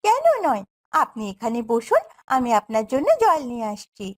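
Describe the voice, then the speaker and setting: A woman's voice: a little high, big pitch swings, moderate pace. A woman playing a meek, kind, hard-done-by young stepdaughter in a fairy-tale cartoon, with a plaintive, dutiful way of speaking.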